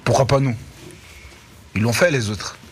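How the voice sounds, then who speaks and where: A man's voice: medium pitch, unhurried, slight background noise. Laid-back man from Congo, guest. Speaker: guest rapper. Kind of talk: casual banter. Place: radio studio.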